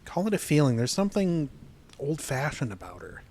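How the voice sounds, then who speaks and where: A man's voice: a little high, sing-song, a little mellow, a little hiss or hum. Anxious, hesitant man. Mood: uneasy, resigned. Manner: hesitant, uneasy, halting. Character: anxious, hesitant stalked man named Carl. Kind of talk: naturalistic audio drama acting.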